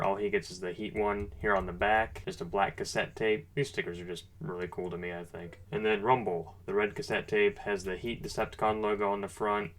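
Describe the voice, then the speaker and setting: A man's voice: on the low side, fairly bright tone. A man giving a casual, unscripted product review as a presenter, talking in an informal, enthusiastic, conversational way.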